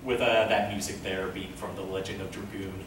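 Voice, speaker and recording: A man gives a casual how-to panel talk, explaining things step by step. He is heard from a distance in a very reverberant room, with faint noise behind him. His voice sits at an ordinary middle pitch. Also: little pitch movement, fairly quick. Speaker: panel presenter. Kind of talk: instructional convention panel talk. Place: indoor convention panel room, recorded from a distance.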